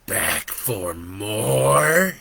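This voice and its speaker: Brief: a sinister male storybook devil, taunting and drawn-out, put on by a male narrator. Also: fairly low pitch, big pitch swings, gravelly, pushed and bright, slightly rough, no echo, heavily acted.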